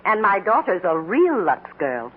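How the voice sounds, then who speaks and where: A woman playing a thrifty, contented housewife with a husky voice in a 1940s radio advertisement. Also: mid-range voice, very animated, a little slow. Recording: tinny 1940s radio broadcast.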